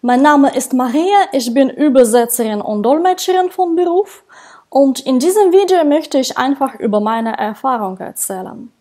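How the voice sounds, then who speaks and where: A woman in her thirties, non-native in German, talking to camera about her work as a translator in a slow, careful way with hesitations. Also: high-pitched, expressive. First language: not German. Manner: slow, careful speech with frequent hesitations and soft laughs. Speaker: translator and interpreter. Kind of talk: solo talk to camera about her career. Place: indoors, small room with dark backdrop. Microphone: wired earphone mic.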